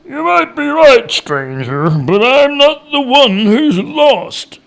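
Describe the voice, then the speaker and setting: A man's voice: a little high, wildly swooping pitch, slow, creaky, fairly bright tone, voice pushed up, full character voice, poor audio. A man voicing a sly, stubborn hillbilly woodcutter in a storybook tale, drawing out his words wryly. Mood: taunting. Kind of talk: storybook character voice.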